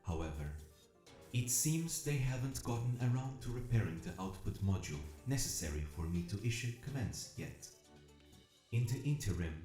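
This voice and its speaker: Guy doing a logical broken robot narrator for an audio drama, deep-ish voice, clinical and measured, echoey w music under it. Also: dark tone, lightly acted. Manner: measured, formal, clinical, precise. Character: damaged military robot awakening, logical, methodical, quietly curious.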